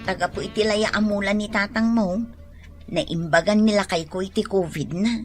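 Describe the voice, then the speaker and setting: An actress playing an excitable village neighbour who exclaims animatedly in a radio drama. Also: female voice, mid-range voice, normal speed, soft background music, close to an everyday voice. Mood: sheepish. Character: excitable village neighbour woman caught pilfering vegetables. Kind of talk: Filipino radio drama acting.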